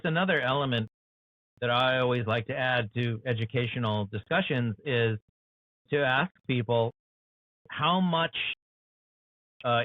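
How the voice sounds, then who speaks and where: An American man talks earnestly as an author in an interview, leaning on "you know" as he builds his point. His voice sits at an ordinary middle pitch. The sound is dry and thin, like a narrow phone line from a video call. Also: no room sound. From United States. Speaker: author guest.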